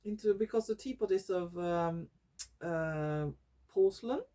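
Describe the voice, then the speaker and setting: A Norwegian woman vlogging in English, her second language, often pausing to search for words and correcting herself. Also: lowish voice, warm tone. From Norway. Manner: slow and searching for English words, many fillers and self-corrections. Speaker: YouTuber. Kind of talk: casual talking-head vlog.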